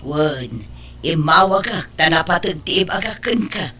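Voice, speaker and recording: A woman's voice: sits low, lively intonation, a little slow, bright, forceful tone, breathy edge, full character voice. A woman playing a concerned, meddling middle-aged aunt in an Ilocano audio drama, fretful and chiding, with an Ilocano accent. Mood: grave, confiding.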